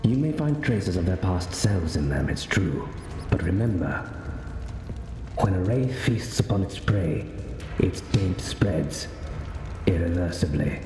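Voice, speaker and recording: An actor playing a calm, wry male mentor in a video game, speaking in a measured, formal, instructive way. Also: low-pitched. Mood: solemn, instructive. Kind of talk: video game cutscene acting. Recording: echoey hall reverb, music behind.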